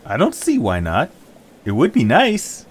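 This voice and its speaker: A man voicing an eager, excitable young hero in an audio drama, earnest and full of exclamations. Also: medium pitch, unhurried, husky, exaggerated voice.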